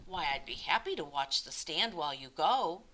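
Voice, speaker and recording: A woman's voice: low-pitched, expressive, moderate pace, pushed and bright, slight background noise, low-quality recording. A woman voicing a shy, lonely storybook man who is earnest, courteous and eager to help. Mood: polite, eager. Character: shy lonely grown man turning delighted and helpful.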